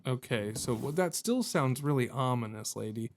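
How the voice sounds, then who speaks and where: A man's voice: mid-range voice, lively intonation, moderate pace. A man hosting a gaming video with casual let's-play commentary, thinking aloud in a wry, jokey way. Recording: game ambience and noise behind voice.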